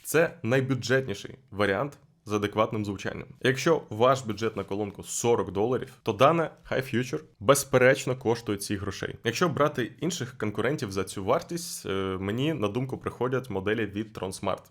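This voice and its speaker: A man's voice: fairly low pitch, brisk, hardly any pauses. Man reviewing gadgets to his viewers. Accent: Ukrainian. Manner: addresses viewers as friends. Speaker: tech reviewer. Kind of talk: scripted product review.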